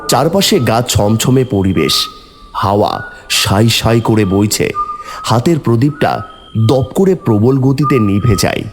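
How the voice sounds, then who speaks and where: A man's voice: medium pitch, lively intonation, a little slow, lightly acted. A man playing an earnest, brave young hero who tells and acts out a horror cartoon story. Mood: eerie, suspenseful. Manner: dramatic, earnest first-person storytelling. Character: brave, earnest young man seeking his dead lover. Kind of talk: horror cartoon narration and dialogue. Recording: music behind the voice.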